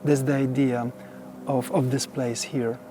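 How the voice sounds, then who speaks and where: A man whose first language is Polish, a monk explaining his monastery grounds on a tour in slow, earnest English. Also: medium pitch, little pitch movement, background noise. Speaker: Carmelite monk giving a tour. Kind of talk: casual guided tour conversation.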